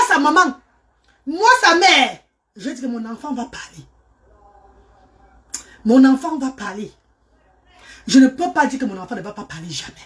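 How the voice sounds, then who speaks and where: Middle-aged mother, high voice, fervent and pleading, repeats herself for emphasis. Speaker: mother and charity worker. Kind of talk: emotional personal testimony.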